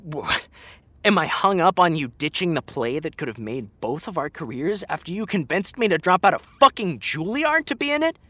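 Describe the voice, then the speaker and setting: A man's voice: on the high side, big pitch swings, moderate pace, a bit bright, dry recording. A man playing a hurt, fiery actor fed up with an old friend, speaking emphatically and sarcastically in a naturalistic audio drama. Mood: angry, incredulous.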